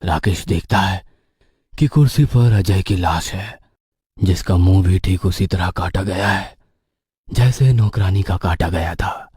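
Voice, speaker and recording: Read this horror story as a male narrator: keep it low-pitched and rough-edged. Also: measured pace, darkish tone, dry recording, clean studio sound.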